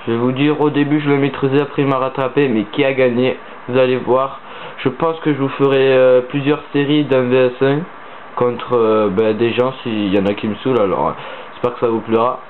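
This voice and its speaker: A teenage boy with a head cold talks in a stuffy, sniffling voice, rambling through a casual gaming commentary full of hesitations. His mid-pitched voice comes through a thin, phone-like mic. A faint hiss sits under it. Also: level tone, fairly quick. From southern France. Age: teens. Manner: rambling, hesitant with many fillers. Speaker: gaming channel host.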